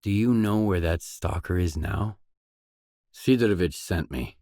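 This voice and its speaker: Casting: a guarded male game stalker, low register, unhurried delivery, terse and determined. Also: lively intonation, dark tone, no echo, clean studio sound. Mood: probing, businesslike. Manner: terse, probing, matter-of-fact. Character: guarded, determined male stalker mercenary in post-apocalyptic zone. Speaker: video game voice actor. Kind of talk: isolated video game dialogue lines.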